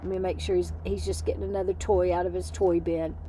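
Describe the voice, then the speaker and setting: A woman's voice: fairly low pitch, flat delivery, fast talker, warm tone, breathy edge, rough recording. A middle-aged woman, hosting a casual livestream from her RV, rambling and chatty, tired and losing her voice from lack of sleep. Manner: rambling, chatty, talks to her dog mid-stream. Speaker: livestream host. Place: inside an RV.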